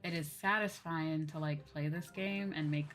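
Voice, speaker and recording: Lady streamer rambling to chat while gaming, lowish voice, bit of music behind her. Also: fairly even intonation, moderate pace, a bit bright. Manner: rambling, thinking aloud. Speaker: livestreamer playing a farming game. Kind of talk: casual game commentary and chat with viewers.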